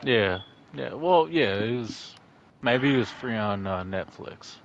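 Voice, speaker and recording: Slow, halting man who curses. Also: mid-range voice, animated, highs cut off, a little hiss or hum. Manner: slow, halting, swears often, stumbles over words. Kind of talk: casual chat.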